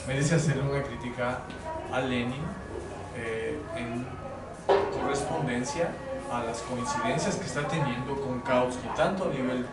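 A man's voice: medium pitch, a little slow, over loud music. A middle-aged man with a Mexican Spanish accent speaking emphatically in an academic discussion. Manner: emphatic, repetitive phrasing. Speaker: course lecturer. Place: living room with sofas, indoors. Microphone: far camera. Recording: echoey room from far off, background noise.

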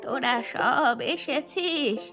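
A woman voicing a frail old village mother-in-law, speaking slowly and tenderly, with music playing behind. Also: high voice. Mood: affectionate. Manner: slow, trembling, tender.

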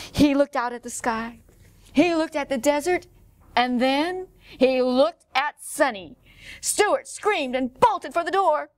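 A middle-aged woman storyteller reading a picture book aloud to children in an expressive way. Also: high voice, no echo. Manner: expressive, clearly paced for children. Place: indoor room, standing before a painted wall.

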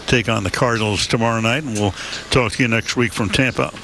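An older man calling a football broadcast from a stadium booth on a headset mic, in a smooth, measured announcer's delivery. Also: on the low side, a bit bright, a little hiss or hum, low-quality recording. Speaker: play-by-play announcer. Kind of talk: broadcast sports commentary.

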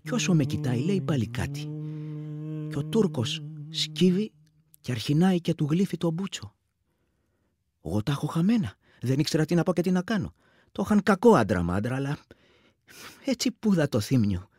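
A wistful, wounded war veteran from the village tells his story in a radio play. His voice is husky. He confides in plain, colloquial words, recorded very dry. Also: medium pitch, a little mellow, dry recording. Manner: intimate, plain-spoken reminiscing.

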